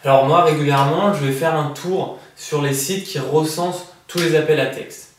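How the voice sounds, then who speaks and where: A man in his thirties, an author from France, answering interview questions in a relaxed, hesitant way with many fillers and restarts. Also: on the high side, normal speed, a little hiss or hum. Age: 30s. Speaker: prize-winning author interviewed. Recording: echoey room, voice from far off the mic.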